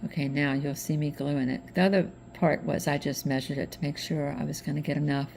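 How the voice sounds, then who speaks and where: A middle-aged woman with a slightly hoarse voice from allergies, chatting relaxed step by step through a craft tutorial as host. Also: low-pitched, fairly quick, slight background noise. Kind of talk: casual craft tutorial narration.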